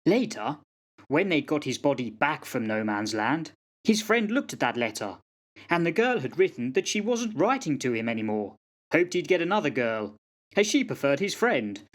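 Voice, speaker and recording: A man's voice: a little high, very animated, moderate pace. A man playing a fatalistic old sergeant-major in a radio play, telling yarns in a folksy, dramatic way. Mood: storytelling, fatalistic. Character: old soldier sergeant-major, fatalistic yarn-spinning raconteur. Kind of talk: amateur radio play acting.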